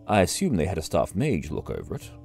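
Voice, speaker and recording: A man playing a stern, dry listener who asks measured, probing questions. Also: low-pitched, wildly swooping pitch, gravelly, a little mellow, exaggerated voice. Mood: cool. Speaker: listener hearing the tale. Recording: faint music behind.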